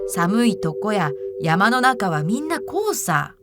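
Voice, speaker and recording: A woman voicing pompous, gullible young rich gentlemen from the city in a storybook reading, with self-assured, old-fashioned phrasing. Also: medium pitch, sing-song, takes it slowly, over loud music. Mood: smug, pleased. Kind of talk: theatrical fairy-tale character reading.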